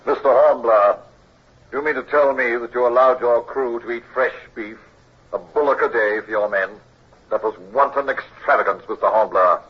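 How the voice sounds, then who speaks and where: An actor playing a stern, penny-pinching naval supply chief, pompous and scolding, in a 1950s radio drama. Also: male voice, medium pitch, moderate pace, breathy edge, natural voice. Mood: indignant. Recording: muffled old radio recording.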